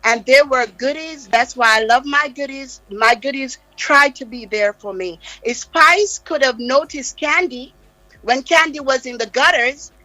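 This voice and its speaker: A woman's voice: high-pitched, unhurried, sharp tone, no echo, poor audio. A Jamaican woman guest on a live radio interview, speaking with passionate, insistent emphasis. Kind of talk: heated interview talk. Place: radio studio.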